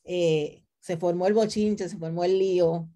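A woman's voice: fairly low pitch, steady tone, a little slow, mellow. A middle-aged woman with a Puerto Rican accent, talking conversationally on a video call and often checking in with tag questions. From Puerto Rico. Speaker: theology professor, panelist. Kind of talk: conversational self-introduction. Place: indoor room with bookshelves, video call. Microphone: computer mic on a video call.

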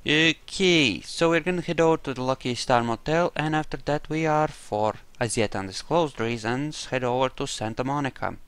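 A man's voice: medium pitch, moderate pace, few pauses, a bit bright, clear, resonant tone, no room sound. A man giving casual roleplay commentary over a video game, with wry, jokey asides. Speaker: gamer narrating a playthrough.

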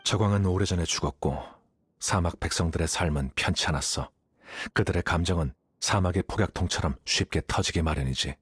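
A man's voice: low-pitched, fairly quick, breathy edge, no room sound, studio quality. A man voicing a cool-headed, analytical young scholar in a Korean game dub, composed and matter-of-fact as he explains. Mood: detached, explaining. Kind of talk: Korean-dubbed anime game dialogue.

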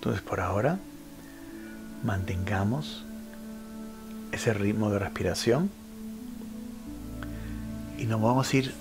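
A man with a fairly deep voice leads a guided meditation. He speaks very slowly, in short instructional phrases separated by pauses. Music plays clearly behind him. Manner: unhurried instructional delivery with frequent pauses. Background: background music.

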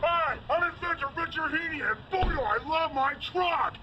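A middle-aged man giving an infomercial sales pitch as an inventor, excitable and bellowing, pushing hard for the sale. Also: normal speed, lo-fi sound, heavy background noise. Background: music.

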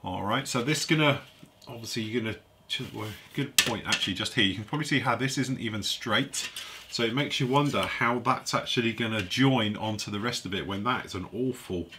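A man's voice: medium pitch, sharp tone, a little hiss or hum. Congested man reviewing a model kit. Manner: chatty, thinking aloud while handling parts. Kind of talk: casual hands-on kit review. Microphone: overhead camera on a workbench, voice off-screen.

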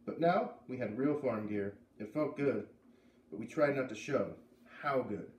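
A man in his thirties reading a story aloud with expressive narration, sitting indoors at a little distance from the camera. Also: mid-range voice, a little slow. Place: living room indoors by a fireplace. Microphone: camera a few feet away. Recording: roomy camera sound, faint noise.

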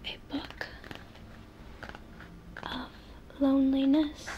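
A young woman doing an ASMR role play in a soothing, reassuring, breathy whisper, close to the microphone in a room. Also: high voice, level tone, extremely slow, highs cut off, a little hiss or hum. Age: young adult. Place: small indoor room.

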